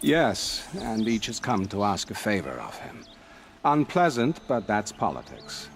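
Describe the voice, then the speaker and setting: Casting: courtly spymaster, low voice with animated delivery, dry wit, formal speech, video game. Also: male voice, unhurried, creaky, exaggerated voice. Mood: courteous, wry. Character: smooth, discreet male royal spymaster and advisor. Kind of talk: video game cutscene acting. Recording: music under the dialogue. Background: music.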